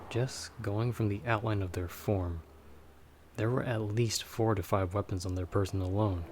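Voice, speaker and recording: Low-voiced, dry vampire hunter played by a man. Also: darkish tone, a little hiss or hum, close to an everyday voice. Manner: dry, wry, measured delivery. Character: dry, guarded male vampire monster hunter, protective companion. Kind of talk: ASMR audio roleplay, scripted monologue to listener.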